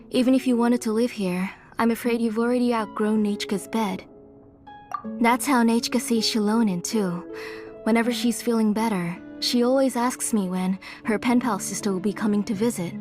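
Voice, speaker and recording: An actress playing a gentle, sorrowful middle-aged mother in a game, speaking softly, with game music behind her. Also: a little high, moderate pace, lightly acted. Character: gentle, guilt-ridden mother and former smith. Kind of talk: game dialogue. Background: soft game music.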